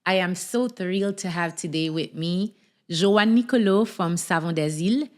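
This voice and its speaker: A woman's voice: lowish voice, normal speed. A woman hosting a podcast and interviewing a guest in a conversational way.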